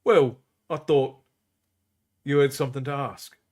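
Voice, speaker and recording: A man voicing a calm, commanding prince. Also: mid-range voice, measured pace, lightly acted. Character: composed prince, commanding and wry.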